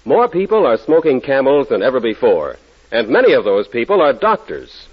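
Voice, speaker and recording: A man giving a scripted commercial read in 1940s radio style, with a husky voice. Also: medium pitch, very animated, normal speed. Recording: muffled vintage radio broadcast.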